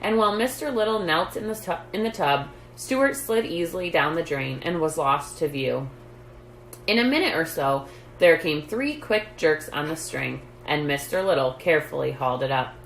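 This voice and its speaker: Expressive low-voiced woman reading a children's story. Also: normal speed, vocal fry. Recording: roomy echo, background noise.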